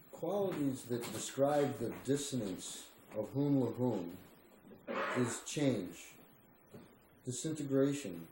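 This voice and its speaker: A man reads spiritual writing slowly and solemnly, leaving deliberate pauses between phrases. His voice is mellow, and the room adds a noticeable echo. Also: mid-range voice. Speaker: reader. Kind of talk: slow spiritual reading of a written text. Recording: roomy echo with faint background noise.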